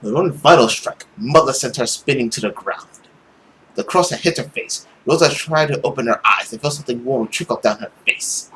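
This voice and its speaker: A young man reading a visual novel aloud as a gaming host, expressive and theatrical, recorded at home. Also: mid-range voice, normal speed, slight background noise, poor audio. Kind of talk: dramatic read-aloud narration with reactions.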